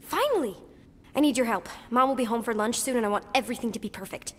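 An actress playing an insistent, emotionally intense young daughter in a video game, childlike and emphatic. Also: female voice, on the high side, animated, fast talker. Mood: excited, bossy. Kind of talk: dramatic video game cutscene acting. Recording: long hall-like reverb.

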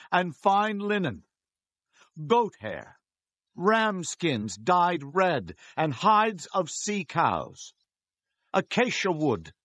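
A solemn bible prophet leader, really slow and deliberate, commanding, very dry close recording. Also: male voice, high-pitched, dry recording, full character voice. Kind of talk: dramatized audio Bible acting.

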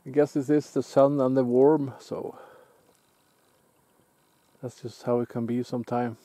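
A Norwegian man speaking English with a Norwegian accent, chatting in a relaxed, halting way while fishing alone by a lake, picked up by a camera on the shore. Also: mid-range voice, no echo. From Norway. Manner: relaxed, musing, halting with restarts. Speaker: outdoor vlogger. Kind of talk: casual self-filmed commentary. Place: lakeside outdoors, off camera.

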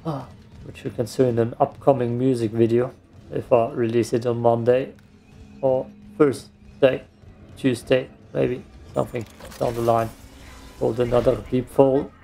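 A man's voice: mid-range voice, level tone, measured pace, vocal fry, dark tone, soft background music. A man, a German speaker, joking and self-deprecating as he comments on his gameplay. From Austria. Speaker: let's play host. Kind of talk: casual gaming commentary.

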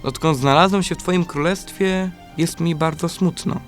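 Guy acting a young fairy tale prince, high voice, earnest n polite, radio play with music. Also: fairly even intonation, normal speed, natural voice. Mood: sad, pleading. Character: devoted young prince searching for his brothers.

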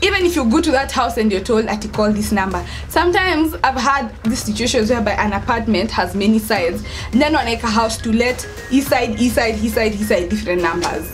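A young adult woman with a Kenyan accent, telling a story in casual vlog style, expressive and emphatic, repeating words for stress. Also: a little high. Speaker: vlogger, storyteller. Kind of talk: casual storytime chat to camera.

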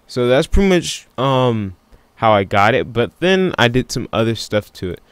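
A man giving a casual software tutorial in an offhand, unscripted way, thinking aloud as he works. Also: mid-range voice, big pitch swings, normal speed, clear, resonant tone, no room sound. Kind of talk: casual screen-recording tutorial.

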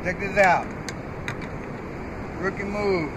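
A man with a slightly high voice chats in an easy, unhurried way as he shows off his fishing gear. He is outdoors on a windy beach, and clear wind and surf noise runs under his voice. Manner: laid-back, chatty, joking. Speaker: fishing vlogger. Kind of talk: casual outdoor vlog.

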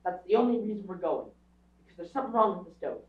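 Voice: mid-range voice, expressive, fast talker, close to an everyday voice. A performer playing a proud, defiant young boy in a stage radio drama, blunt and insistent. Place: small theatre stage, recorded from audience camera. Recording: dull, echoey stage recording from far off.

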